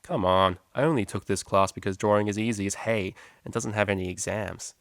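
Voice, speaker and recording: A breezy young stallion acted by a man with a lowish voice. He talks in loose student slang, teasing and easygoing, in a dry storybook recording. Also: fairly quick, vocal fry, fairly bright tone, no room sound. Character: laid-back young male pony student, teasing, forgiving.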